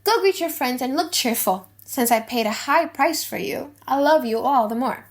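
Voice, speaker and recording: A woman's voice: sits high, lively intonation, normal speed. A woman voicing a loving, wealthy young noblewoman in an audiobook play, commanding and reassuring. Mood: brisk, loving. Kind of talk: dramatic audiobook reading of a play.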